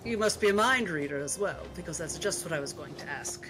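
A woman voicing a shrewd, genteel female doctor with an arch, measured, commanding manner. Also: low-pitched, animated, music in the background. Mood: wry.

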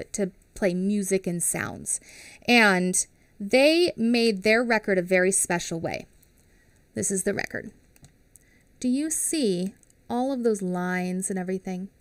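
A woman's voice: mid-range voice, no room sound. A woman in her thirties reads a picture book aloud to young children, her voice bounding up and down as she explains each page in simple words. She speaks into a headset mic in a small home studio, and the sound is close and dry. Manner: animated and expressive, explaining slowly to young kids. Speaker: teacher reading aloud to children.

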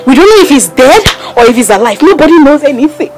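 A distressed young mother laments wearily in a fairly high voice with a Nigerian accent. She sighs and repeats phrases for emphasis. It is film drama, with some music underneath. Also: very animated, quick pace, a little mellow. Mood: weary, resigned. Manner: sighing, lamenting, emphatic repetition. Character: weary, distressed young mother confiding hardship to a friend. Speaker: actor.

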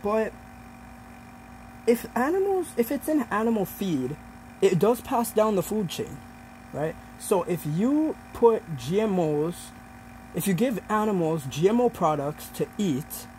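Young adult man, slightly high voice, hesitant explaining, informal student presentation, faint store hum. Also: expressive, unhurried, darkish tone, rough recording.

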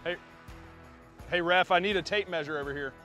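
Angler man by a pond, crisp bright voice, chatty, faint music behind. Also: high voice, brisk. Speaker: angler competitor. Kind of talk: casual banter. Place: outdoors by a pond.

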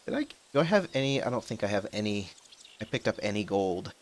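A man's voice: on the low side, big pitch swings, fairly quick. Rambling young man narrating gameplay. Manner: rambling, chatty, swears at the game. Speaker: gaming channel host. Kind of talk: casual let's-play commentary. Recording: faint background noise, voice drops out when soft.

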